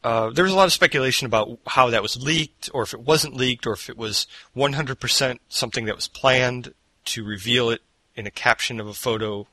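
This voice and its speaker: A man's voice: mid-range voice, a little fast, bright, forceful tone, no room sound. A man hosting a casual gaming podcast from home, rambling with fillers and restarts into a headset mic. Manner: rambling, self-deprecating, many fillers and restarts. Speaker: podcast host. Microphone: borrowed headset mic off to the side.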